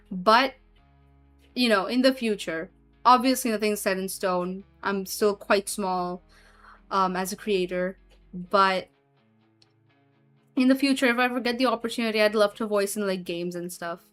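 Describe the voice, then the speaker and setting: A woman's voice: a little high, a bit bright. A woman streamer chatting casually with her viewers and reading chat aloud, giggling often and trailing off with fillers. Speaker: streamer and hobby voice actor.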